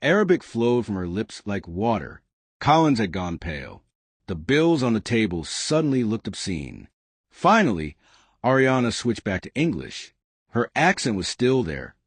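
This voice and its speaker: A male narrator telling a dramatic story with lively storytelling delivery. His voice sits on the low side and moves widely in pitch, recorded close and completely dry. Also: moderate pace, breathy edge, no echo.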